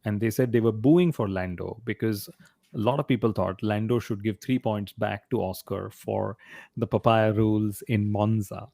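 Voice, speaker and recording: A man's voice: on the low side, fairly even intonation, moderate pace, gravelly, warm tone, no room sound, studio quality. A man co-hosting a motorsport podcast in casual conversation, often posing rhetorical questions. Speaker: podcast co-host.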